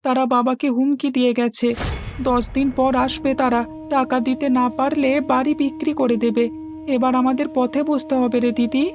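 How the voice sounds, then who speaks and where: A woman acts out a young boy in a cartoon fairy tale. The voice is high and childlike, affectionate toward family and quick to worry. Faint music plays underneath. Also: level tone, lightly acted. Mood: anxious. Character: young boy, anxious, affectionate little brother.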